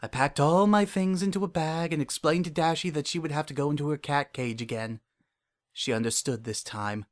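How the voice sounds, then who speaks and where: A man's voice: on the high side, fairly quick, natural voice. A man plays an unhinged narrator telling his own horror story in the first person. He is obsessive and paranoid, with an earnest, oddly childlike way of putting things and a brooding undertone. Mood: hopeful, wistful. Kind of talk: first-person dramatic horror fan fiction reading.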